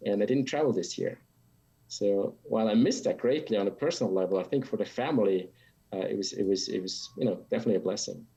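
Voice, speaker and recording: Husky, mellow man in halting conversation. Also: lowish voice. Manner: halting, repeats words as he thinks. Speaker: interview guest. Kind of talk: casual conversational interview answers. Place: home office that doubles as playroom. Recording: dull, lo-fi video call audio.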